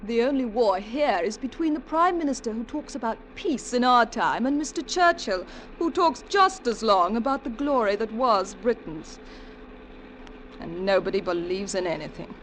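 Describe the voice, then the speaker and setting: A jaded posh english girl in a 40s radio play, very lively pitch, old noisy recording. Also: moderate pace. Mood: breezy, cynical. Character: cynical, bored young rich English heiress. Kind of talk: 1940s radio acting.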